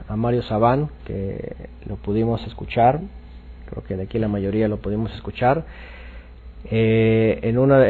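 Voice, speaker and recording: A man teaching scripture informally, explaining haltingly and often repeating himself. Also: medium pitch, phone-quality sound. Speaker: religious teacher.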